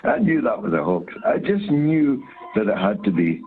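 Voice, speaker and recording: A man's voice: medium pitch, wildly swooping pitch, normal speed, a little hiss or hum. Dry-voiced man over a tinny line.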